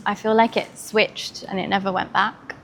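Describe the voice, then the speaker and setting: A woman's voice: medium pitch, little pitch movement, moderate pace, creaky, fairly bright tone. A woman with a British accent, chatting casually as an interview guest in an informal, upbeat, open manner. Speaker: podcast guest, fashion designer. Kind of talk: casual conversational chat. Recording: faint background ambient noise.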